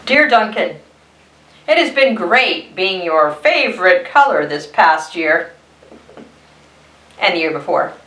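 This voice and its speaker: A woman's voice: lowish voice, sing-song, takes it slowly, pauses often, bright, forceful tone, heavily acted. A woman voicing a timid, humble, worn-out little blue crayon with hesitant, polite delivery in a children's storybook read-aloud. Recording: roomy echo, distant mic.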